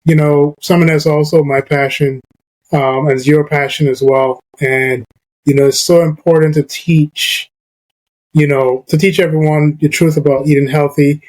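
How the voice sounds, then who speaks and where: Man, from Barbados, podcast host, casual chat, slightly high voice, lots of fillers. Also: flat delivery, moderate pace, a little mellow, poor audio. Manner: conversational, many fillers like "you know" and "like".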